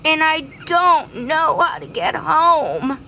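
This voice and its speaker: A woman voicing a greedy male bee in a children's story, childish and excitable, exclaiming and wailing. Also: high voice, slow pace, vocal fry, slight background noise, pitched above the performer's own voice, exaggerated voice. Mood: frightened, wailing.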